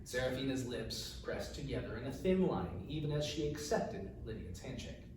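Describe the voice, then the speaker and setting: A man reading his fantasy novel aloud as its author, in an expressive storytelling style. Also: mid-range voice, moderate pace. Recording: echoey room, distant mic, faint noise.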